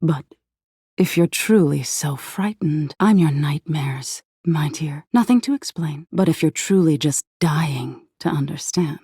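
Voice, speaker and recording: A woman playing a sinister living nightmare in a video game, teasing and seductively menacing, with a breathy, husky voice that slips into whispers. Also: sits low, animated, mellow, no echo, studio quality, full character voice. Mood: teasing, amused, then sinister, coaxing. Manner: slow, drawn-out, playful menace, slipping into breathy whispers. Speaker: video game character voice actor. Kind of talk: theatrical video game voice acting.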